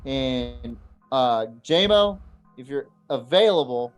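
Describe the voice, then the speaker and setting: A man's voice: mid-range voice, lively intonation, slow, clean tone, soft background music. A man co-hosting a podcast, chatting casually to viewers in a blunt, swearing, rambling way. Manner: profane, blunt. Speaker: podcast co-host.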